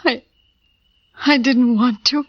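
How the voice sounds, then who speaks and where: An actress playing a guilty, distraught woman who speaks haltingly and pleadingly in 1940s radio melodrama style. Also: fairly high pitch, fairly even intonation. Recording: muffled old 1940s radio recording.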